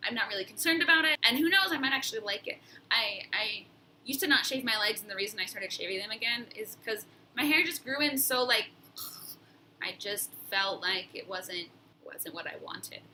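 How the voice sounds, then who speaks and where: A woman's voice: on the high side, animated, normal speed, sharp tone, slight background noise, rough recording. A young woman with a nasal voice, talking casually and reflectively in a personal vlog, with frequent fillers and restarts. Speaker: vlogger.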